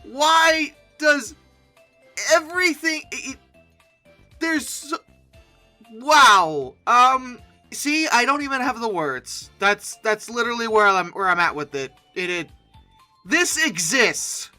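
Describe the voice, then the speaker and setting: A comedy host gives off-the-cuff commentary with a bright, sharp voice and very animated pitch. The delivery is theatrical and sarcastic, taking its time between exclamations. Music plays underneath. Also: takes it slowly. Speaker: host of a comedy reaction video. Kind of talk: off-the-cuff comic commentary on images.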